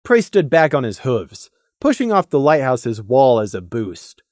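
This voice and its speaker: Low-voiced, very animated woman narrator. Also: unhurried, no room sound, clean studio sound. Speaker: audiobook narrator. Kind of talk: scripted story narration.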